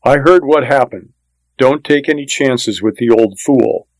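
A man's voice: medium pitch, a little slow, darkish tone, dry recording, natural voice, low-quality recording. A man voicing a gunslinger's eager, gossipy sidekick in a western audiobook, talking in a folksy, informal way. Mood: eager, boastful.

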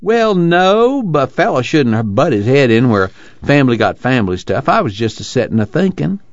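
A man's voice: mid-range voice, very animated, normal speed, dry recording, muffled recording, pitched above the performer's own voice. A man voicing a nervous, humble former preacher. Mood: sheepish protest.

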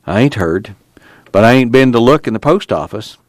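A lonely, resigned farmer, as a male narrator voices him in an audiobook. He talks quietly in folksy rural speech, his pitch still moving a lot. The recording is dry and close. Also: animated, moderate pace, no echo, close to an everyday voice.

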